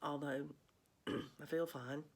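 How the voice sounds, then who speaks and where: A woman's voice: deep voice, steady tone, takes it slowly. A woman chatting casually to viewers as a vlog host, in a rambling way, with a slightly congested, froggy voice. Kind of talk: casual chat to viewers about cross stitch.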